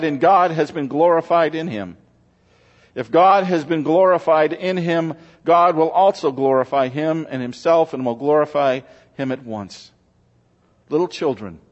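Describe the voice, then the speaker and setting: A man speaking as a pastor, reading scripture to a congregation in a measured, solemn church delivery. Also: on the high side. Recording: muffled, reverberant large-room recording.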